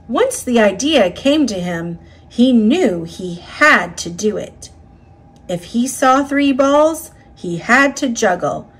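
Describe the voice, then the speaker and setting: A woman reading a children's picture book aloud in a deliberate, dramatic storytelling manner. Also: on the high side, animated, slightly rough. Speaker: storybook reader.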